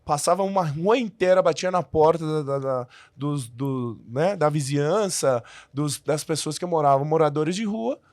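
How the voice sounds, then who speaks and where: A man's voice: a little high, normal speed, hardly any pauses, darkish tone, dry recording. A man who learned the language as an adult, a podcast guest telling his story in a casual way with many restarts and fillers.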